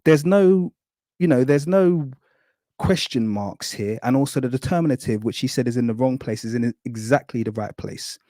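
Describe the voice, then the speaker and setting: A man lectures carefully on a live stream, walking through one point after another and promising to be quick. His voice is mellow and soft-edged. The sound is dry and close. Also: mid-range voice, no room sound. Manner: thorough, apologetic, keeps saying really quickly. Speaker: guest explaining hieroglyphs.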